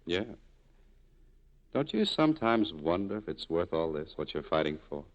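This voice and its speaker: An actor with an American accent playing a cynical, guarded cafe owner in a terse, deadpan 1940s radio drama style. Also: male voice, low-pitched, moderate pace. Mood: gruff, guarded. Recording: dull vintage radio recording.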